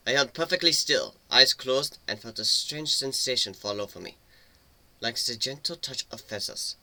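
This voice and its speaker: A man's voice: medium pitch, lively intonation, normal speed, sharp tone, poor audio. A man narrating a fantasy story as a dramatic reading.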